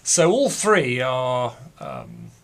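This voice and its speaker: A man playing a self-assured Victorian gentleman detective, talking in a pompous, formal, dry way in a radio interview. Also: mid-range voice, wildly swooping pitch, drawn-out words, creaky, exaggerated voice. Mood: haughty, self-assured. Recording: faint noise, clicks.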